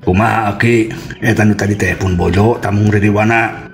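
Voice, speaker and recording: A man's voice: lowish voice, fairly even intonation, normal speed, faint music behind, voice pushed up, lightly acted. Man acting an anxious, deferential village husband. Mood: anxious asking. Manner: anxious, polite, apologetic.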